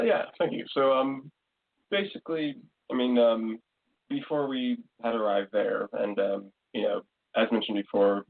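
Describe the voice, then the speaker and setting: A man talking as a show guest, explaining things casually in a halting, roundabout, hesitant way. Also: fairly low pitch, vocal fry, breathy edge. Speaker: paranormal investigation team member, guest. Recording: muffled, lo-fi call-like sound.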